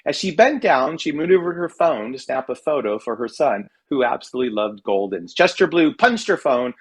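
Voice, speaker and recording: American man, mid-pitched husky voice, animated intonation, wry dramatic emphasis, expressive humorous essay reading. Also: normal speed. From California, United States. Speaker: writer reading own work at a literary reading.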